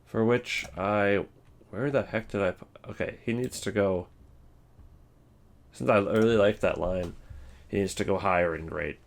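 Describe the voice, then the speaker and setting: A man giving casual, opinionated video commentary on game voice lines, thinking aloud in halting, rambling sentences. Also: lowish voice, vocal fry. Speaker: video host.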